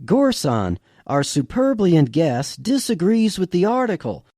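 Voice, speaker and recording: A man's voice: high-pitched, big pitch swings, unhurried, dark tone, no echo, clean studio sound, full character voice. A man voicing a polished woman news anchor in an audio story, with formal broadcast delivery.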